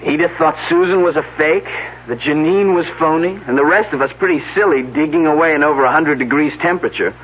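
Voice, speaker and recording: A man's voice: fairly high pitch, fast, hardly any pauses, natural voice. An actor playing an earnest, slightly flustered young man from an archaeological dig, answering questions in a mystery drama. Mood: earnest, recounting. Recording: narrow, slightly noisy phone-like old broadcast audio.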